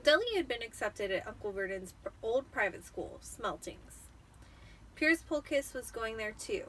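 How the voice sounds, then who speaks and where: A tipsy woman reading a novel aloud in a theatrical, expressive storytelling style. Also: on the high side, moderate pace, recorded from a distance, slight background noise, low-quality recording. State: tipsy from wine. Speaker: amateur storybook reader.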